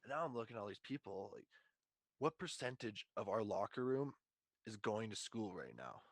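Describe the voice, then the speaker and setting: Low-voiced young man chatting casually, slangy. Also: big pitch swings, normal speed, vocal fry, dry recording. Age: 20s. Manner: slangy, profane, bro-talk. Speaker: podcast host. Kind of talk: casual podcast chat.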